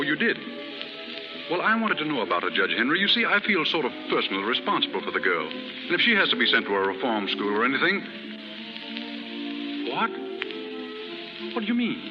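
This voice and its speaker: An earnest upper-class gentleman played by a man in vintage radio drama. His speech is formal and clipped, with dramatic rises and falls in pitch. The sound is muffled, like an old broadcast. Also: lightly acted. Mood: concerned. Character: earnest upper-class gentleman novelist, stern when wronged. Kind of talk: 1930s radio acting.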